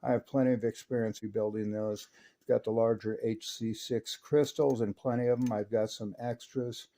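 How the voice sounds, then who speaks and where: A man from Southern California walks through a vintage radio at his workbench, eager and full of operator lingo. His voice sits at a middling pitch. He sounds like a seasoned hobby reviewer. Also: fairly even intonation, normal speed, warm tone. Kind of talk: hobby tech review and on-air radio chat.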